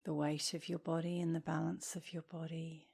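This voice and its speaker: A woman leading a guided meditation as an instructor, speaking softly and soothingly, with gentle, breathy phrase endings. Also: sits low, level tone. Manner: soothing, gentle, unhurried with long pauses.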